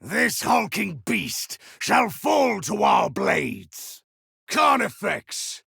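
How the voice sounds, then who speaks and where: An actor playing a brash, zealous, battle-hungry space marine with a rough gravelly voice in a video game. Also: male voice, high-pitched, wildly swooping pitch, drawn-out words, pushed and bright, dry recording, raised voice, studio quality, full character voice. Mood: battle roar. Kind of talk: video game combat barks.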